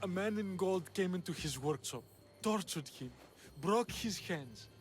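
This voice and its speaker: A man's voice: high voice, lively intonation, unhurried, soft background music. A man playing a troubled townsman of ancient Greece in a game cutscene, grave and earnest. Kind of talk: video game cutscene acting.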